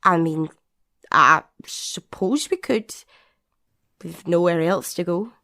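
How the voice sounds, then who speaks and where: A woman's voice: fairly low pitch, takes it slowly, pushed and bright, no echo, heavily acted. Woman acting practical female survivor, dry sound. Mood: practical, sober.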